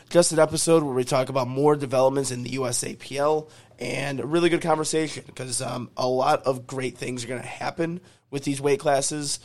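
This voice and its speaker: A man with a hoarse, fading voice hosting a podcast, reading upbeat sponsor ads and repeating promo details. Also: mid-range voice, a little mellow. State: hoarse, losing his voice. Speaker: podcast host. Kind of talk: podcast intro and ad reads.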